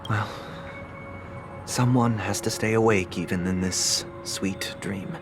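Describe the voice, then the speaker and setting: A man voicing a solemn, composed young gentleman in a video game, formal and measured, with music behind him. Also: lowish voice, fairly even intonation. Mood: solemn, reflective.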